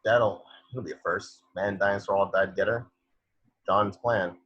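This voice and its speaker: Very husky, low, sardonic intellectual, read by a man. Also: fairly even intonation, normal speed, dull audio. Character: wounded, sardonic male intellectual, droll and weary. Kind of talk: amateur screenplay table read.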